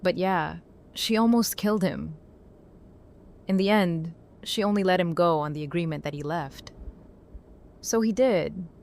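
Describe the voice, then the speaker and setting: A woman's voice: fairly low pitch, a little slow, pauses often, a little mellow. A woman playing a blunt, battle-worn apocalypse survivor in a naturalistic audio drama. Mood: candid.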